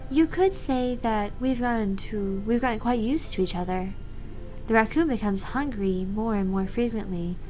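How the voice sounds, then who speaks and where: A woman's voice: on the high side, moderate pace, mellow, soft background music, close to an everyday voice. Woman voicing a timid, bookish teenage girl. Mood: intense, absorbed. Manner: hesitant, timid. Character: shy, bookish teenage girl, gentle and intense. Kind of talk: visual novel voice reading.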